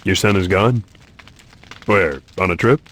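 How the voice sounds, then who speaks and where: A man's voice: low-pitched, a little slow, a bit bright. A man playing an earnest, troubled young warrior in a fantasy video game. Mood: sympathetic. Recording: faint background noise, clicks.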